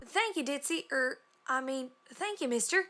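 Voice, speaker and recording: A woman's voice: sits high, expressive, normal speed, sharp tone. A woman voicing an earnest country farm mare with a folksy rural Southern American drawl and polite, flustered manners.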